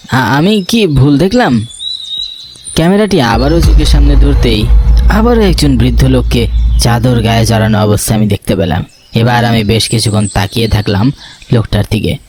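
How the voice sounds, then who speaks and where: Nervous, curious young male lead , male actor, first-person horror cartoon narration, mid-range pitch, light music bed. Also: a little mellow, lightly acted. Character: nervous, curious young male photographer telling his ghost story.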